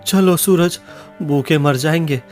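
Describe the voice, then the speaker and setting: A man playing a poor but proud village blacksmith and father, earnest and indignant, in an animated moral story. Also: a little high, measured pace, soft background music, lightly acted. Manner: pleading, indignant.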